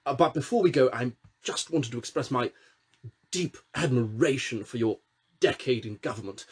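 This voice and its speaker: A man's voice: medium pitch, normal speed, slightly rough. A man voicing a short, fidgety, nervous male minister who fawns and hesitates. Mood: timid. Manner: timid, hesitant, fawning.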